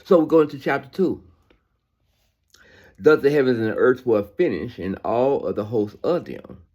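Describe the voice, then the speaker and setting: A man's voice: medium pitch, expressive, moderate pace, muffled recording. A man reading scripture aloud with informal sermon remarks, emphatic and repetitive, with conversational asides that seek agreement. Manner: emphatic, repeats phrases for emphasis. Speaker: Bible reader giving commentary.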